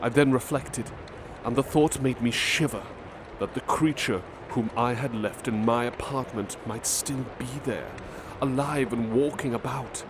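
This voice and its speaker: A man reads aloud in a gentle, measured ASMR storytelling style, taking his time over each line. His voice sits in the middle of the pitch range. Loud urban ambience plays steadily beneath him. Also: background noise. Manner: measured, gentle, literary reading. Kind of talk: ASMR roleplay story reading.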